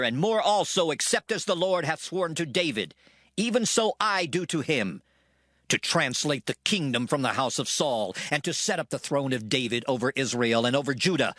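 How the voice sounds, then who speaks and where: A man's voice: a little high, expressive, normal speed, fairly bright tone, no echo, studio quality. A man playing a fierce, proud ancient Israelite army commander in a dramatized scripture reading, declaiming with passion. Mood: indignant fury. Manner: declamatory, impassioned.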